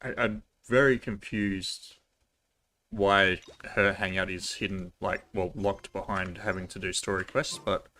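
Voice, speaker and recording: A man's voice: sits low, fairly even intonation, unhurried, pushed and bright. A man giving casual live commentary as he plays a video game, chatty and informal, thinking aloud. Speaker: game streamer. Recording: game music in the background.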